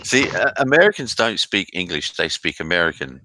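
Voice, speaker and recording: A man hosting a casual live stream chat, relaxed and bantering. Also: low-pitched, fairly quick, background noise.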